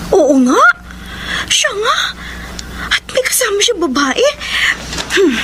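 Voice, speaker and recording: A woman's voice: very high voice, big pitch swings, takes it slowly, background noise, heavily acted. A woman playing a vindictive, jealous ex-girlfriend with theatrical taunting in a Filipino radio drama. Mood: wistful, scheming. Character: vindictive, jealous spurned ex-girlfriend, scheming and venomous.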